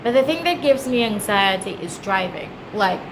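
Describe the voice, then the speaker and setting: A woman's voice: mid-range voice, animated, normal speed. A woman from Kenya with a Kenyan accent, chatting casually to camera as a travel vlogger and explaining things in a conversational way. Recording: clearly noisy background ambience.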